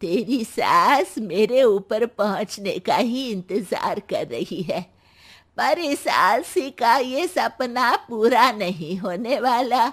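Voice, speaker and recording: A woman voicing a spry, sharp-tongued, very old grandmother-in-law in a cartoon, teasing and scolding her family. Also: a little high, lively intonation, slow, husky, exaggerated voice. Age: older. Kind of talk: cartoon voice acting.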